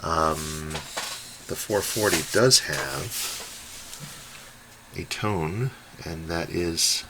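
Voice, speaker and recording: A man hosting an unscripted hobby review, talking in a halting way as he thinks aloud while demonstrating. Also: sits low. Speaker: host, radio hobbyist reviewer. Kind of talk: unscripted product demonstration commentary. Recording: faint radio noise behind voice.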